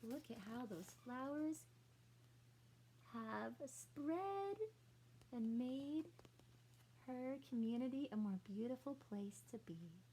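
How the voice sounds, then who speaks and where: A woman's voice: on the high side, expressive, slow pace, long pauses, dark tone, husky, low-quality recording. A woman teacher reading a picture book aloud to children, adding explanatory asides and questions for young listeners.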